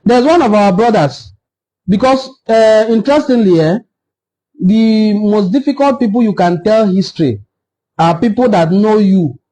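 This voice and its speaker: A Nigerian man with an Igbo-language accent speaks in a high, soft-toned voice. He hosts an informal history talk, pressing his case in an assertive, argumentative manner and repeating points for emphasis. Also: unhurried, rough recording. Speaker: video host on history.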